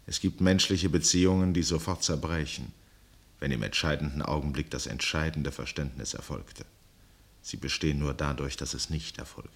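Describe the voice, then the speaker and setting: An actor playing a grave, detached narrator in a radio play, measured and serious, with a husky voice. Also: male voice, rumbling low, steady tone, fairly bright tone, exaggerated voice. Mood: grave, intense. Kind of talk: scripted narration.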